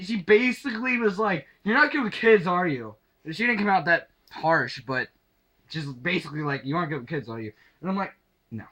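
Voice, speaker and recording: Young guy telling a story, kinda high bright voice, halting and sweary, does the dialogue. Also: low-quality recording. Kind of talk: casual anecdote told to camera.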